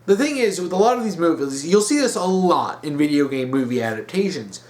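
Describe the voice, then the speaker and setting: A man's voice: fairly high pitch, big pitch swings, fairly quick, darkish tone. A man hosting an opinion series, speaking bluntly and emphatically and repeating phrases to drive points home. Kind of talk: opinionated commentary.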